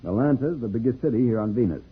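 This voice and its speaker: Tinny-sounding old-radio space hero, husky. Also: male voice, medium pitch, animated, racing. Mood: reassuring. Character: Dancer the Planet Man, calm protective adult male space adventurer. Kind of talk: 1950s radio serial acting.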